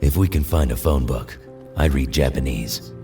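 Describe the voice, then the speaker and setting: A man playing a gruff, snarky, cynical mutant fighter in a superhero audio drama, sardonic and grumbling. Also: extremely low pitch, normal speed, warm tone, recorded from a distance, soft background music.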